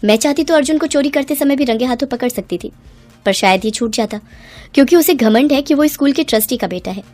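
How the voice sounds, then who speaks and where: A woman's voice: sits high, fast talker, a little mellow, faint music behind, pitched above the performer's own voice, lightly acted. A woman voicing a principled, earnest female schoolteacher in a cartoon moral story. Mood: stern, reproving. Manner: earnest, reproving.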